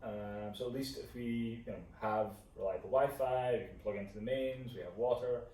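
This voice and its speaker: A man's voice: medium pitch, normal speed, darkish tone. A young man with a Scottish accent, co-hosting a vlog in casual, informal chat, thinking aloud as he explains. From Scotland. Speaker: co-host of a couple's vlog. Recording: echoey room, distant mic, faint noise.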